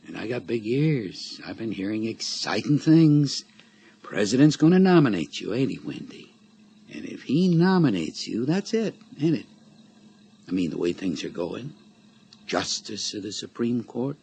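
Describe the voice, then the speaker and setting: An actor playing a sly, menacing middle-aged blackmailer in a radio drama, taunting with mock-friendly, folksy familiarity. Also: male voice, mid-range voice, creaky, mellow. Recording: radio drama recording with background noise.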